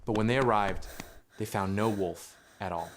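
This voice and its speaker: A man reading a scripted children's story in a measured storytelling manner. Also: fairly low pitch, slight background noise. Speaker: narrator.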